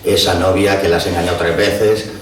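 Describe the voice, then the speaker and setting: An older author speaks bluntly and with open scorn, pausing on frequent hesitations. His voice sits fairly low and comes from well back in a very echoey room. A faint hum of room noise lies under it. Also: steady tone. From Madrid. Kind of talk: book presentation talk. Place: bookshop event room. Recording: roomy echo from a distant mic.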